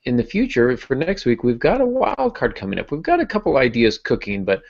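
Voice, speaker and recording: A man's voice: mid-range voice, wildly swooping pitch, a little fast, vocal fry, a little mellow, lo-fi sound. A man hosting a writing podcast in casual talk, with a self-deprecating, joking manner. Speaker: podcast host and fiction writer.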